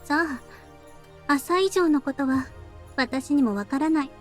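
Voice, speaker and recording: A woman playing a quiet, weary, affectionate young woman in a visual novel, speaking softly and without hurry. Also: sits high, fairly quick, natural voice. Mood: calm, gentle. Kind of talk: Japanese visual novel voice acting. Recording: background music under the voice.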